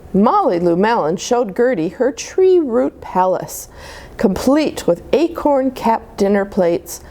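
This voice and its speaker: A woman reading a picture book aloud as a storyteller, with an expressive, deliberate delivery meant for young children. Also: medium pitch.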